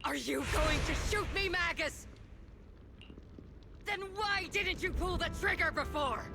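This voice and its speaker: A woman voicing a cold, embittered military colonel and secret cult leader in a video game, speaking in a theatrical, measured, menacing way. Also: extremely high pitch, vocal fry, exaggerated voice. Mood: bitter accusing. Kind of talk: dramatic video game voice acting. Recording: loud music behind the voice. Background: dramatic game music.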